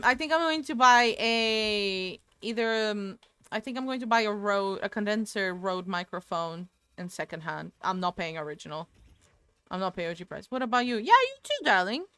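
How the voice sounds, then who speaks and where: Woman streamer, rambling chat with viewers, crisp voice, fairly high. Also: sharp tone, no echo. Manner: chatty, rambling, answers chat aloud. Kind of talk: casual gaming stream chat. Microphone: desk microphone.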